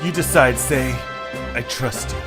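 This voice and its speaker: A man playing an excitable, trusting male creature, the heroine's best friend, in an amateur audio drama. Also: mid-range voice, a little slow, loud music behind the voice, lightly acted. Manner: excitable, urgent.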